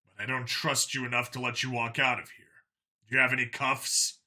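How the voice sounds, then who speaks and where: A man playing a cold, menacing human cop in an audio story, speaking in a controlled, measured way. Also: mid-range voice, little pitch movement, sharp tone. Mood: controlled, wary. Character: cold, menacing human vigilante cop holding a gunman's calm.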